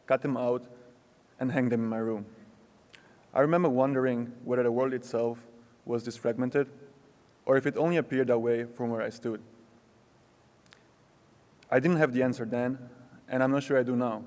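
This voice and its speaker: A young man giving a prepared graduation speech, slow and reflective with measured pauses, in a large ceremony hall. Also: mid-range voice, darkish tone. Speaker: student graduation speaker. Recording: long hall reverb.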